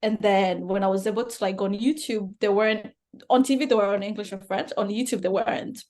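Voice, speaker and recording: A woman's voice: medium pitch, steady tone, fairly quick, a little mellow. A young woman from Rwanda, a native Kinyarwanda speaker, talking as a podcast guest in an earnest, thinking-aloud way. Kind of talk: casual conversation.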